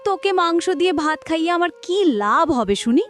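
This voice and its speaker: Animated, sharp-tongued cruel stepmother played by a woman. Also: very high voice, fairly quick. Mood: spiteful, scolding. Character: cruel scolding village stepmother. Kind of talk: cartoon story voice acting.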